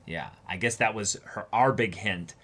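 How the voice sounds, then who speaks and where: A man's voice: fairly low pitch, moderate pace, fairly bright tone. A man co-hosting a casual discussion show, musing aloud in broken, trailing phrases. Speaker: co-host of an anime reaction discussion show.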